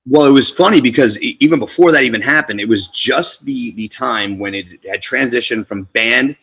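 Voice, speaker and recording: A man's voice: medium pitch, moderate pace, breathy edge, no echo. A young man born in Colombia and raised in New Jersey, talking casually and energetically as a DJ and MC guest in an interview. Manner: energetic, talkative, street-casual.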